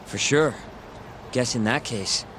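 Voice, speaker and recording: A man's voice: mid-range voice, takes it slowly, close to an everyday voice. A man as a breezy sidekick over street noise. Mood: casual, alert. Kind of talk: video game cutscene acting.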